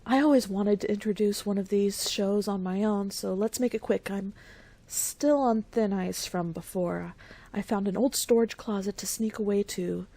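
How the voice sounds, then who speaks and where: A woman's voice: medium pitch, normal speed, mellow, close to an everyday voice. An actress playing a sneaky, chatty woman secretly introducing a radio play in a conspiratorial, playful manner. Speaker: show introducer.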